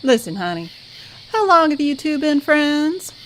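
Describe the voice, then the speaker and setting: Woman as motherly, unhurried band director, high voice. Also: big pitch swings, a bit bright, slight background noise, full character voice, rough recording. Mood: reassuring. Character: motherly, no-nonsense female band director, kindly and reassuring. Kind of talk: audio drama character acting.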